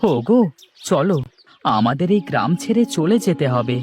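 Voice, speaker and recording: A woman's voice: sits low, sing-song, measured pace, a little mellow, faint music behind, pitched below the performer's own voice. A woman voicing a poor young village husband who speaks anxiously and deferentially. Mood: worried.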